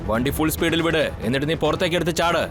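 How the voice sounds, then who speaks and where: A man's voice: fairly high pitch, rapid-fire, music in the background, lightly acted. A man voicing a boastful, threatening gang-boss villain in a dubbed children's cartoon, menacing and self-important.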